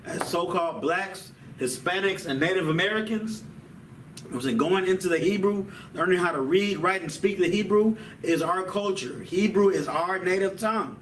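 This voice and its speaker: A man with a slightly high voice leads a relaxed language lesson, dropping "man" and "you know what I'm saying" into his sentences. He sounds set back from the mic in an echoing room, with a faint hiss underneath. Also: moderate pace, recorded from a distance. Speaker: Hebrew language teacher. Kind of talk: casual classroom lesson.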